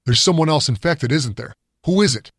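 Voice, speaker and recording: A man's voice: a little high, expressive, fairly quick, breathy edge, dry recording, studio quality. A man voicing a frightened, infected man who talks tense and blunt.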